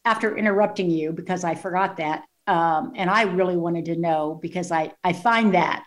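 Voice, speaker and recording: A woman's voice: on the low side, moderate pace. A woman hosting an interview in a chatty style, laughing often and drifting into asides. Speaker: host. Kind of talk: casual interview chat.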